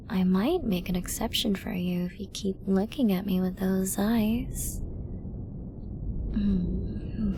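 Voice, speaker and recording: A woman playing a flirty, dominant villain in an ASMR roleplay, teasing and possessive, often slipping into an intimate whisper. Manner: slow, teasing, breathy lapses into whispering. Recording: noisy with low rumble.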